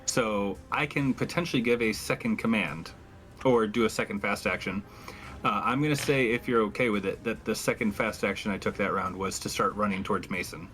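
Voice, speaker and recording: A man's voice: on the low side, fairly quick, a bit bright, faint music behind. A man talking casually as a tabletop game player, politely explaining his moves.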